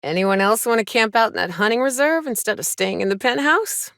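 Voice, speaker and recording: A tough female trailblazer from a space frontier video game. Her delivery is sardonic and folksy, with pitch that moves around a lot. The sound is dry and close, and she talks in short, salty remarks like a seasoned companion on the trail. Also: expressive, fairly quick, a bit bright, dry recording. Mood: dry, watchful. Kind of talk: video game voice acting, short reactive lines.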